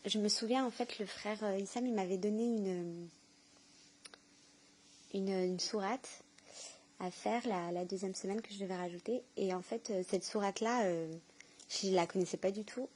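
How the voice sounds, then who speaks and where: A woman shares a personal testimony in a soft-edged, mellow voice at a medium pitch. She speaks earnestly and haltingly, often pausing to search for the next word. The delivery is unscripted and intimate. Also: a little hiss or hum, low-quality recording. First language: French. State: emotional, tearful with joy.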